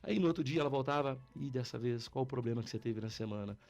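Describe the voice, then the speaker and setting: A man's voice: mid-range voice, quick pace, a little mellow. A man with a Brazilian accent telling stories in a casual podcast chat, slangy and informal, acting out dialogue as he goes. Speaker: podcast participant telling anecdotes. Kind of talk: casual animated storytelling chat.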